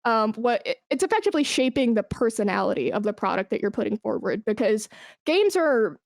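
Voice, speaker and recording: A woman's voice: a little high, sing-song, brisk, gravelly, dry recording, studio quality. A woman talking informally as an interview guest, explaining things with examples and emphasis. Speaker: esports brand manager, podcast guest. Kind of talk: conversational interview.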